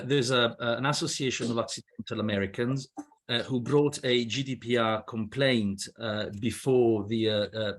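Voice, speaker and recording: A man in his fifties with a Swiss accent, not a native English speaker, speaking as a guest lawyer on a video call, hesitant with many fillers and restarts. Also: mid-range voice. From Switzerland. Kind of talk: panel discussion on a video call. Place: indoor room with wooden ceiling. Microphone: laptop webcam on a video call.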